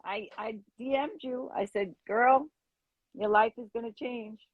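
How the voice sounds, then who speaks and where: A middle-aged woman, a former schoolteacher, gushing excitedly as she tells stories in a casual livestream chat. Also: on the high side, a little slow, husky, dry recording, narrow, tinny audio.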